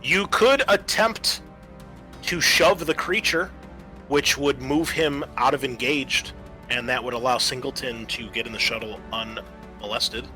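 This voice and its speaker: A man's voice: medium pitch, unhurried, bright, forceful tone, faint music behind, poor audio. A man acting as game master, narrating a tabletop roleplaying scene descriptively and speaking directly to his players.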